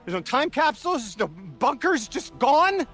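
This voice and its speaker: A man's voice: very high voice, sing-song, moderate pace, fairly bright tone, soft background music, heavily acted. An actor playing an earnest, emotionally open man displaced from his own time in a video game cutscene. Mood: distraught, incredulous.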